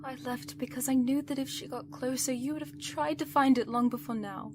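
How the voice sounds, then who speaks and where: Woman acting high-voiced, protective heroine. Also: a little fast, noisy background, natural voice. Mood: insistent, hurt. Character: protective, exasperated woman, a villain's loyal light-magic companion. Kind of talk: dramatic audio roleplay acting.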